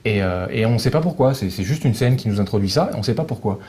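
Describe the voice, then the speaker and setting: Fast-talking, low-voiced Frenchman explaining casually. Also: lively intonation, dark tone. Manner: colloquial, acts out dialogue. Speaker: guest presenting a visual novel he sells in France.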